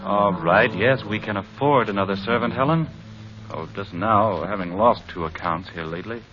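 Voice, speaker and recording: A man's voice: on the low side, moderate pace, lightly acted. An actor playing an earnest, doting husband and father in an old-time radio drama. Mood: exasperated, pleading. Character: earnest, doting husband and adoptive father, gentle but exasperated. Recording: muffled old radio recording, music behind.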